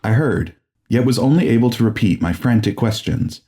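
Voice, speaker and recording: A man reading a horror audiobook as a grave, formal, deliberate and dramatic narrator. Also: medium pitch, animated, dark tone.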